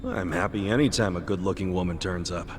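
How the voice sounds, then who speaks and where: A man acting a brash, cheeky, excitable street hero in an English video game dub, talking in a blunt, slangy way. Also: low voice, brisk, a little hiss or hum, natural voice. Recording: music behind the voice.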